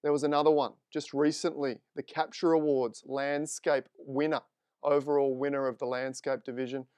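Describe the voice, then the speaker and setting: A man's voice: mid-range voice, little pitch movement, moderate pace, darkish tone, clear, resonant tone, dry recording. A man with an Australian accent hosting a live stream interview, chatting informally and upbeat. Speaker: host. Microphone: desk microphone.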